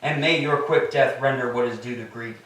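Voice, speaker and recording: A wronged Greek king, acted by a man, imperious and scornful, declaims in a mid-pitched voice. The stage tragedy is picked up from far off in a heavily reverberant hall. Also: fairly quick, a bit bright, natural voice. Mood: indignant. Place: church, staged reading. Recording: very echoey hall, distant mic.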